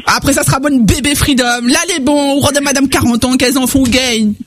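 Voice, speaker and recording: High-voiced chatty radio host. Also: male voice, fairly even intonation, brisk, fairly bright tone. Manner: chatty, teasing, exclamatory. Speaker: radio host taking calls. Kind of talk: call-in radio chat. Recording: radio broadcast.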